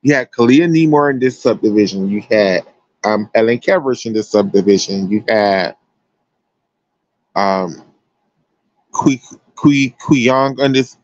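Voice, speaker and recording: A man's voice: fairly low pitch, a little slow, long pauses, no echo, muffled recording. An American man giving casual, chatty sports commentary as a livestream host, emphatic and slangy.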